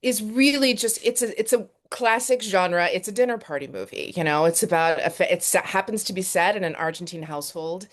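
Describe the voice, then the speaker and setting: Woman from texas talking about her film, lowish voice, lots of restarts, gets animated. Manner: animated, quick restarts and repeated words. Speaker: film director and actress. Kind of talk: interview answers.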